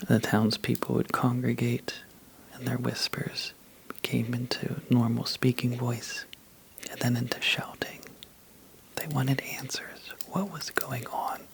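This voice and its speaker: A man telling a sleepy ASMR bedtime story in a soft, breathy half-whisper, halting and drowsy, with long pauses. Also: mid-range voice, level tone, low-quality recording.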